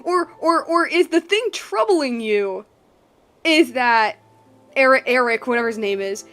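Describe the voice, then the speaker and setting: A woman giving casual game commentary, thinking aloud in animated, broken bursts. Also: high voice, measured pace, soft background music. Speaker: game streamer.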